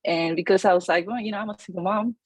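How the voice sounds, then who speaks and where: A woman, telling her story as an interview guest, energetic with many fillers and restarts. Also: medium pitch, quick pace, breathy edge, no room sound. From Chile. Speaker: real estate agent, guest.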